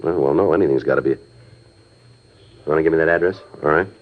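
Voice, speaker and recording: An actor playing a stoic American police detective in a 1950s radio crime drama, clipped, deadpan and matter-of-fact. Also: male voice, extremely low pitch, fast talker. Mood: businesslike. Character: stoic, businesslike male police homicide detective. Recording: dull old radio recording with music.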